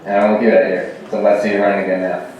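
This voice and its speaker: A man's voice: deep voice, level tone, quick pace, a little mellow, slight background noise. A young man, a student, asks a candidate questions in a casual, friendly way. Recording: echoey dull hall recording from far off.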